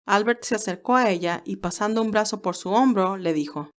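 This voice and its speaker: A woman narrates a story from a script. Her pitch is in the middle range for a female voice. She takes the role of storyteller. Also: brisk.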